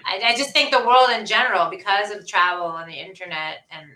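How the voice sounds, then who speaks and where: A woman's voice: mid-range voice, brisk, pushed and bright. Chatty Canadian woman in an echoey room. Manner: chatty, laughs often, imitates others' voices. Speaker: author, podcast guest. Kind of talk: casual conversation. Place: bomb shelter. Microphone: video call.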